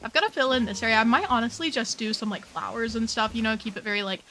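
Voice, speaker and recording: Young female streamer, chatty and bubbly, slightly worn voice, soft game music under her. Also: fairly high pitch, fast talker. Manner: chatty, bubbly, says "like" a lot. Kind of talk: casual game stream chat.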